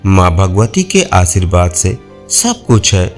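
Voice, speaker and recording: A soft-tempered, devout village husband in a moral tale, acted out by a man. He speaks slowly and earnestly with a lively melody. Background music runs underneath. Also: deep voice, pitched below the performer's own voice, heavily acted. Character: gentle, devout, generous young village husband.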